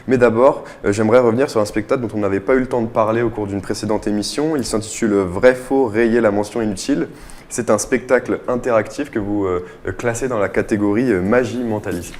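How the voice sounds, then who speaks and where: Guy hosting a radio interview, voice on the low side, presenting and asking questions. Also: fairly quick, a little mellow. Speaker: radio host.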